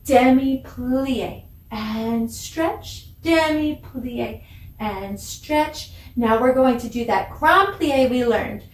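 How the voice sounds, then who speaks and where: A woman giving an encouraging, deliberate dance lesson for children, demonstrating as she teaches. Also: high-pitched, slight background noise. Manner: mixes English with simple Spanish phrases. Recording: echoey room, distant microphone.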